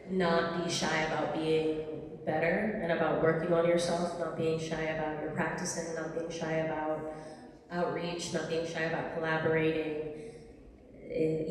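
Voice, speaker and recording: A woman's voice: low-pitched, recorded from a distance, a little hiss or hum. A woman singer from the Dominican Republic, chatting casually in an onstage interview, loose and full of fillers. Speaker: singer and gigging musician. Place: live music venue. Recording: echoey hall heard from afar.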